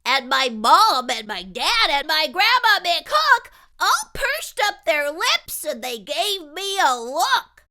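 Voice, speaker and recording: A woman voicing an excitable young boy who spins a tall tale in dramatic, sing-song rhyme for a storybook read-aloud. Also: extremely high pitch, pitched above the performer's own voice, exaggerated voice. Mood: eager storytelling.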